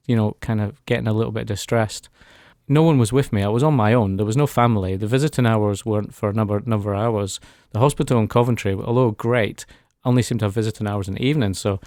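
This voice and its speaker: A man's voice: mid-range voice, darkish tone, no echo, clean studio sound. A man, telling his personal story as a guest in a radio interview. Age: 40s. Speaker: interview guest giving a testimony. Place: radio studio.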